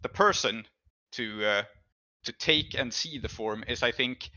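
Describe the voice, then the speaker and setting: A man running a tabletop role-playing game, improvising scene descriptions and rules calls in a halting, thinking-aloud way. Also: medium pitch, pushed and bright, no echo, lo-fi sound.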